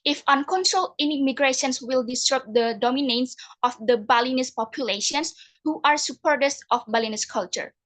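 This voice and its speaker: High-voiced young woman giving a formal speech. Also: a bit bright. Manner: measured, formal oratory. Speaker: speech contestant.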